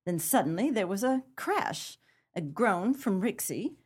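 A woman's voice: mid-range voice, big pitch swings, a little slow, husky. A woman playing a hot-tempered, defiant gangster's moll in a 1930s pulp crime radio play, tough-talking and slangy. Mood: defiant, protesting.

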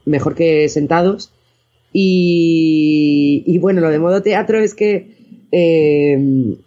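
A man's voice: a little high, a little mellow, dull audio. A man from chatting casually as an interview guest, hesitant and often correcting himself. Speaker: guest, audio fiction director.

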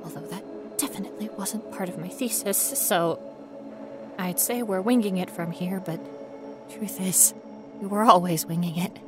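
A woman's voice: medium pitch, normal speed, music in the background, lightly acted. A woman playing a frightened, wry young ghost researcher in an audio horror monologue, rambling nervously to herself. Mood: anxious, resolute. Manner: rambling nervous self-talk, sardonic, often dropping to whispers.

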